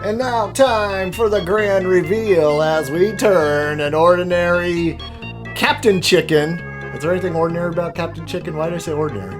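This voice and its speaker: A man's voice: high voice, normal speed. A man hosting a casual step-by-step drawing tutorial, talking in a chatty, jokey way as he thinks aloud. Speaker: drawing instructor and host. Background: background music.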